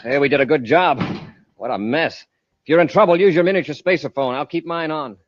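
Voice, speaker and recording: A man playing a decisive, heroic space patrol commander in old-time radio serial style, brisk and authoritative. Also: a little high, close to an everyday voice. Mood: brisk, concerned. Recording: muffled vintage radio recording.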